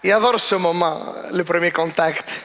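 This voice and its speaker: A man voicing a polite Colombian newcomer who speaks slowly and haltingly, with a deadpan manner, in a stand-up act. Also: a little high, noisy background, close to an everyday voice. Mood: polite, hesitant.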